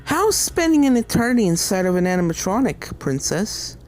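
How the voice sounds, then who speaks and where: Woman playing a raspy, gloating unicorn villainess. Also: mid-range voice, big pitch swings, fairly quick, a little mellow, faint music behind, pitched above the performer's own voice, natural voice. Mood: taunting. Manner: sneering, taunting, cackling. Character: cruel, gloating, unhinged female unicorn villain.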